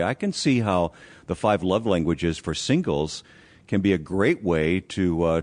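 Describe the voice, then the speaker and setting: A man with a very deep voice conducts an interview. He talks conversationally and frames his questions so that they invite the guest to agree and expand. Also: a little mellow. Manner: conversational, asks leading questions.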